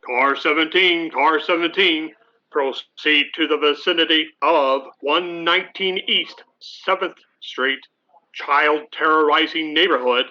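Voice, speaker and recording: An actor playing an official police dispatcher in a radio play, clipped and deadpan over a police radio. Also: male voice, fairly high pitch, measured pace. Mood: stern, deadpan. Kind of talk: 1950s radio play acting. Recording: dull, noisy police radio broadcast.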